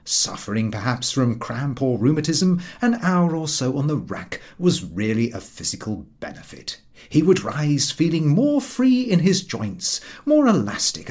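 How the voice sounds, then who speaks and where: A man narrates a humorous literary essay with a very lively, wide-ranging melody. His voice has a husky grain, and his delivery is measured and wry, shaped as a careful scripted reading. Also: mid-range voice. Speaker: audiobook narrator.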